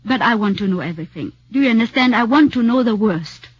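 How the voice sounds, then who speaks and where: A guarded Viennese actress wife. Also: female voice, on the high side, lively intonation, breathy edge, no echo. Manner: hesitant, halting English. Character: guarded foreign actress wife, cool and proud. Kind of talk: 1940s radio acting. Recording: muffled old radio broadcast.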